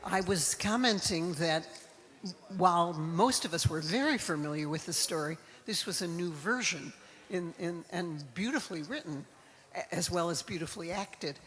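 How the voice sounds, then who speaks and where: High-pitched man commenting from the audience. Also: moderate pace, fairly bright tone. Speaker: audience member asking a question. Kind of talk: spontaneous question and comment.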